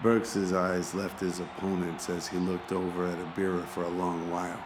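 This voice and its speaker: Very deep male story narrator. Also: little pitch movement, moderate pace, noisy background. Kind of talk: scripted dramatic story narration. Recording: music behind the voice.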